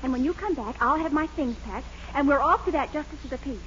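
Gushing young sweetheart, extremely high girlish voice, vintage radio sitcom, noisy recording. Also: fast, a bit bright, dull audio. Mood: excited. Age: young adult. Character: eager, lovestruck, determined young sweetheart. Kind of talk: 1940s radio comedy acting.

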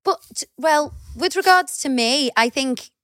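Scouse woman co-host, middle-aged, lively storyteller, higher voice, dry close mic. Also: takes it slowly, dry recording. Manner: chatty, animated storyteller who swears casually. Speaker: podcast co-host. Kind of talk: casual chat.

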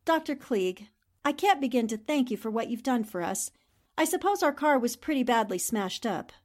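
Woman acting a high-voiced, shrewd, polite 1940s newspaperwoman. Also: animated, moderate pace, darkish tone. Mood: courteous, probing. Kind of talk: 1940s radio drama acting.

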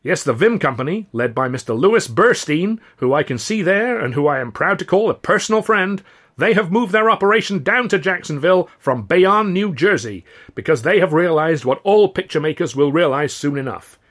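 A florid Southern US mayor, pitched up high and loud. He orates with beaming civic pride and boastful, rabble-rousing grandeur. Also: animated, normal speed. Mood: proud, rousing. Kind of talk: public speech in an audiobook.

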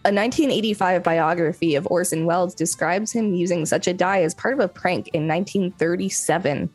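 A woman's voice: on the low side, fairly quick, a little mellow, slightly rough, soft background music. A woman co-hosting a podcast, moving between casual chat and reading an article aloud. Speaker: podcast co-host.

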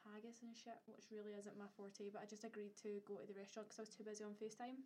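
A woman's voice: mid-range voice, level tone, racing, mellow, slight background noise. A young woman co-hosting a podcast in casual, blunt chat, swearing freely and laughing often. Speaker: podcast co-host.

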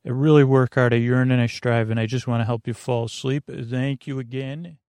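Male sleep podcast host, gravelly and creaky, meandering and hesitant bedtime talk, very dry close sound. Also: mid-range voice, steady tone, a little mellow, no echo. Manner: meandering, halting, stammering, trailing off into tangents. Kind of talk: rambling, soothing bedtime monologue to the listener.

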